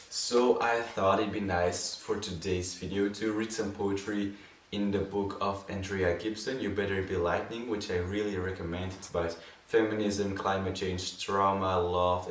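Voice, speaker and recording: A young man with a Dutch accent, a non-native English speaker, hosting a poetry channel, talking gently and hesitantly and reading poems aloud. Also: sits low, distant mic, slight background noise. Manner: gentle, unhurried, hesitant asides with many fillers. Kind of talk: soft spoken-word poetry reading. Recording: echoey room, set back from the mic.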